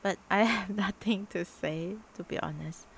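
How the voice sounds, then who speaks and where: A woman's voice: medium pitch, moderate pace, gravelly, a little hiss or hum. A young woman giving casual, hesitant reaction commentary as a streamer.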